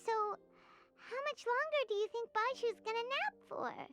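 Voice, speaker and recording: Tiny fairy sidekick: extremely high, squeaky, animated, inquisitive anime game voice over music. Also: female voice, normal speed. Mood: curious. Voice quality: squeaky, childlike. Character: tiny childlike floating fairy companion, excitable and inquisitive. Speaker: game character voice actor. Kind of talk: exaggerated anime-style game voice acting. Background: background music.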